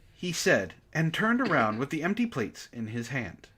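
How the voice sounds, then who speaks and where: An exasperated, sarcastic host reads a story aloud in a bright, forceful voice and keeps breaking off to mock it. The delivery swings widely in pitch, with casual swearing in the commentary. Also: husky. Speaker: book club host reading aloud and commenting. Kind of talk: mocking dramatic reading with casual commentary.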